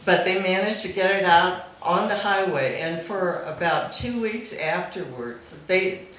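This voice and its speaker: A woman tells an informal, amused story to a gathering at an unhurried pace. Her middle-pitched voice rings in a very echoey room, with faint noise behind it. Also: expressive, fairly bright tone. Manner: amused, often laughing as she talks. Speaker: speaker at a memorial celebration. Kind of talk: informal anecdote told to a gathering. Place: back room at a live gathering.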